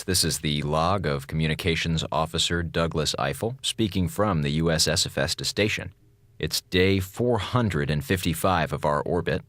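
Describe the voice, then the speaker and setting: An actor playing a wisecracking, insubordinate American communications officer on a space station in a radio drama, with a glib, theatrical manner. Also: male voice, rumbling low, a bit bright, no room sound, exaggerated voice. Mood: dry, mock calm. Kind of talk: radio drama log narration and comic dialogue.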